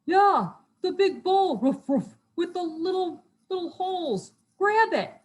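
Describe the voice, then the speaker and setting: A woman's voice: very high-pitched, lively intonation, takes it slowly, voice pushed up, heavily acted, poor audio. A woman voicing a bossy, good-natured dog in a children's story, barking between phrases. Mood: excited. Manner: barks "ruff" between phrases.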